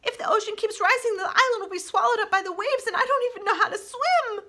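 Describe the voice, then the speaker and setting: A very high, bright little animal girl from a storybook, acted out by a woman reader. She chatters eagerly and anxiously in long breathless rambles. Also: quick pace. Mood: worried, wide-eyed. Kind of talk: children's storybook character voice.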